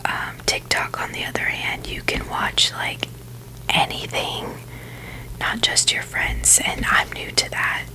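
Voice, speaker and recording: A woman's voice: takes it slowly, pushed and bright, rough recording. A woman whispering breathily in a rambling, informal ASMR chat, hesitant and full of "like" and "you know". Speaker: ASMR video creator.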